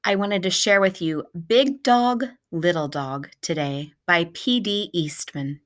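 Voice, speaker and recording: A woman reading a children's picture book aloud in a deliberate, measured way, like a teacher reading to pupils. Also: lowish voice, a bit bright. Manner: deliberate, measured storybook delivery.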